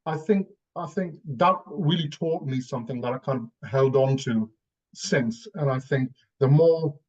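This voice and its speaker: A man's voice: medium pitch, measured pace, a little mellow, dull audio. A man from Newcastle in England sharing personal reflections on a panel, hesitantly repeating phrases as he thinks. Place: online video call.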